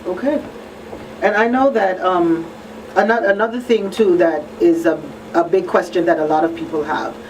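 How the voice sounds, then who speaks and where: A woman's voice: lowish voice, normal speed. Emphatic woman hosting talk radio, slightly distant. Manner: conversational, emphatic repetition, frequent rhetorical check-ins. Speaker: radio talk show host. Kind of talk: live radio discussion. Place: radio talk show broadcast. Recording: distant mic with faint noise.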